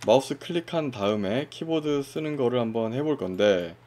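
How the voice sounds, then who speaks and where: Man, software tutorial presenter, casual step-by-step explaining, animated pitch, mid-range voice. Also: normal speed, slight background noise. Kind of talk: screen-recorded software walkthrough.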